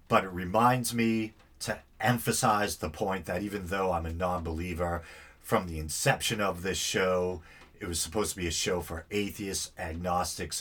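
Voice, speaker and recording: Low, husky-voiced man with a stuffy nasal sound, hosting a podcast, relaxed and meandering. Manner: rambling, self-deprecating, easygoing. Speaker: podcast host. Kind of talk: casual solo podcast monologue.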